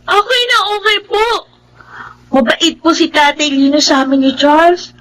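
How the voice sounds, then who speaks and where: High-voiced, sweet young girl. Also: animated, normal speed, a bit bright, low-quality recording. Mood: polite, sweet. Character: polite, sweet young girl, dutiful granddaughter. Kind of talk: Tagalog radio drama acting.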